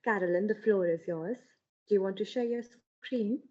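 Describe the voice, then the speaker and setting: A woman's voice: mid-range voice, moderate pace, a little mellow. A woman moderating an online workshop over a video call, introducing speakers with hesitant fillers. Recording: dull lo-fi video call audio.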